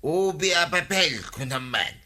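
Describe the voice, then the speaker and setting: An actor playing a nosy, scheming village husband in a radio comedy drama. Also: male voice, a little high, animated, measured pace, bright, forceful tone, exaggerated voice. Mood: amazed.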